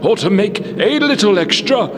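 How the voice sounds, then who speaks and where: A man's voice: high-pitched, lively intonation, normal speed, noisy background. A man playing a slick philanthropist vampire lord in an audio drama, with a polished, formal, corporate way of speaking. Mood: smooth, promotional. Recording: long hall reverb, music underneath.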